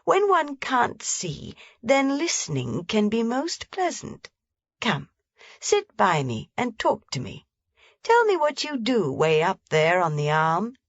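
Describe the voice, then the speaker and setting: A woman voicing a frail, blind old grandmother, soft, gentle and mournful, in a storybook reading. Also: mid-range voice, huge pitch swings, takes it slowly, dry recording, pitched above the performer's own voice, exaggerated voice. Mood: sorrowful. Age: over 60. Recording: slightly dull, dry lo-fi recording.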